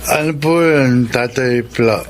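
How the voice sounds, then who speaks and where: A male actor as an impatient young Filipino suitor in a radio drama. His mid-pitched voice is intense and insistent, over clear background noise. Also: takes it slowly, natural voice. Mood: tipsy, respectful. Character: impatient, pushy young suitor, self-centred boyfriend.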